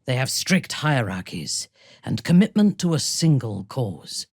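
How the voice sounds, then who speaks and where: A woman playing an imperious, ruthless dark elf warrior noblewoman in a fantasy video game, speaking in a commanding, formal, haughty way with a husky, breathy voice. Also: extremely low pitch, very animated, a little slow, no echo, studio quality, heavily acted. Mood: stern, haughty.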